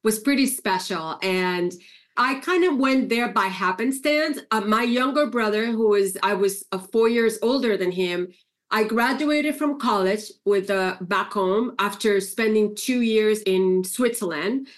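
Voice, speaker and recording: A woman's voice: medium pitch, a little slow. A middle-aged woman from Puerto Rico, talking casually as a podcast guest and telling family stories. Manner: chatty, warm storytelling with fillers. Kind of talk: casual interview conversation.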